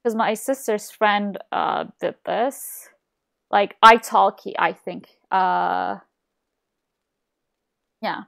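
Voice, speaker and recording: A woman's voice: medium pitch, steady tone, drawn-out words, creaky, pauses often, a bit bright. A young woman from Turkey chatting casually while streaming a game, rambling with lots of fillers and restarts, recorded at a desk mic in a small room at home. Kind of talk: casual chat while gaming.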